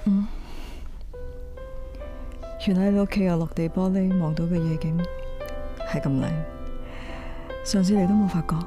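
An actress playing a troubled middle-aged wife in a radio drama, confessional and subdued. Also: female voice, lowish voice, fairly even intonation, normal speed, pauses often, natural voice. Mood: wistful. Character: weary, troubled middle-aged wife confiding in her lover. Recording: music under the voice. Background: music.

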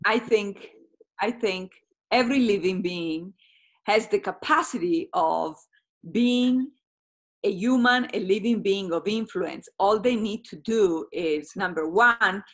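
A woman's voice: medium pitch, fairly bright tone. A middle-aged woman with an Italian accent talks eagerly over a video call from her home. She laughs often and circles back on her words as she explains her ideas. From Italy. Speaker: guest psychologist and coach. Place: home room with brick fireplace.

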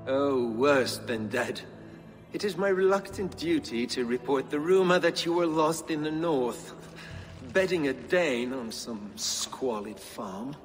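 Slow, haughty bishop. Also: male voice, medium pitch, lively intonation. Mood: disdainful. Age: middle-aged. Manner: measured, condescending. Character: haughty, disapproving middle-aged Saxon bishop. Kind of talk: video game cutscene acting. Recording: reverberant hall, music behind.

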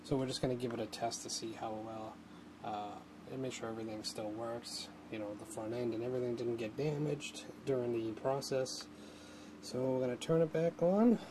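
A man's voice: mid-range voice, steady tone, moderate pace, noisy background. A man thinking aloud in a casual, unscripted how-to narration, like a hobbyist at his workbench. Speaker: hobbyist host demonstrating a radio modification. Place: indoor home workshop, at a workbench.

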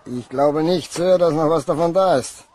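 A man's voice: on the high side, moderate pace, a little mellow, slight background noise, natural voice. A man playing a plain-spoken, obliging villager in a German crime radio play, with a folksy, blunt way of talking. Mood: chatty, obliging.

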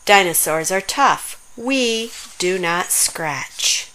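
A woman's voice: on the low side, lively intonation, extremely slow, pushed and bright, full character voice, poor audio. A woman voicing a small, bossy, earnest dinosaur in a children's storybook, emphatic and commanding. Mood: earnest, determined. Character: small bossy bespectacled dinosaur, earnest about being tough.

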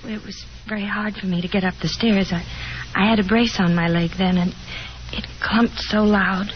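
A woman's voice: mid-range voice, steady tone, faint music behind, natural voice. An actress playing a shy, self-conscious and lonely young woman in a 1950s radio drama, speaking hesitantly. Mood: shy, wistful. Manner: hesitant, halting, timid. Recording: muffled 1950s radio broadcast, hissy noise.

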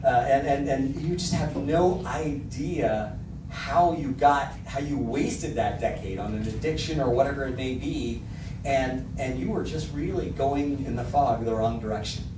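A man's voice: mid-range voice, measured pace, noisy background. A middle-aged man preaching a sermon into a handheld mic, reading song lyrics aloud with emphasis. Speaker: pastor. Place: church hall with projector screen. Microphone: handheld mic, filmed from the back of the room. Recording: echoey hall, mic heard from a distance.

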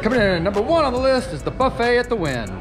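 A man's voice: extremely high pitch, big pitch swings, quick pace, over music. A man in his thirties hosting a travel vlog, chatting upbeat while tasting food at a casino buffet, filmed on a camera held at arm's length. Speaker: travel vlogger host. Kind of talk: casual travel vlog, partly scripted voice-over. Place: casino buffet restaurant, indoors. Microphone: handheld camera held at arm's length.

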